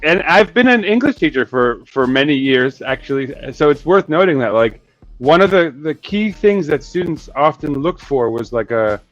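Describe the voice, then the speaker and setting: A man's voice: a little high, animated, normal speed, no room sound, dull audio, soft background music. A man co-hosting a football podcast in casual chat, talking with many "you know" and "right?" fillers. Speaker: podcast co-host.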